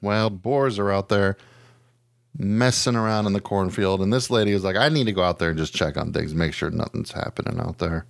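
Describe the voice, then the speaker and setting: A man's voice: low voice, fairly quick, vocal fry, dry recording, clean studio sound. A man hosting a podcast, chatting casually about odd news in a slangy, joking, profane way. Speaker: podcast host. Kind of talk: casual news chat with a co-host.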